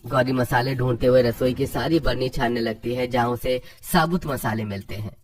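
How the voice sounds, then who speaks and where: A man's voice: medium pitch, fairly even intonation, normal speed, distant mic, faint music behind. A man narrates a scripted story in a mid-range voice. He sounds set slightly back from the microphone, telling the tale as its narrator.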